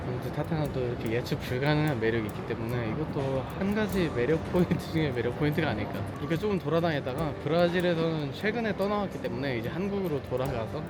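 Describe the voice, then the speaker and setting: Young Korean man, mid-pitched, easygoing banter and quick narration. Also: loud music behind the voice, rough recording. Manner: laughs often, gently teasing. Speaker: vlogger. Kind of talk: casual chat and voice-over narration.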